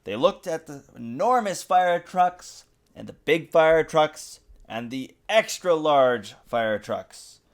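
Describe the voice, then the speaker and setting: A father reading a picture book aloud to a young child, with expressive, dramatic emphasis. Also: on the high side, slow. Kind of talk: animated children's storybook narration. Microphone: USB desktop mic.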